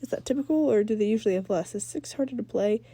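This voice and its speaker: An earnest teenage boy hero in a story, played by a woman. He is unsure and halting. The tone is very soft and dark, in a dry close recording. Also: medium pitch, breathy edge, dry recording. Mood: curious. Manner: hesitant, halting.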